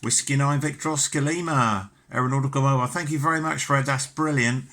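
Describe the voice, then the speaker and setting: A man's voice: mid-range voice, a little fast, background noise. A middle-aged British man chatting casually as an amateur radio operator, courteous and talkative. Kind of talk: casual ham radio chat.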